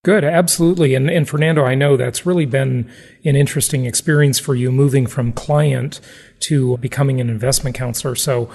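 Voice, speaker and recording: A man with a gravelly, husky voice, talking conversationally as the host of an interview podcast. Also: medium pitch, fairly even intonation, a little fast, a little mellow.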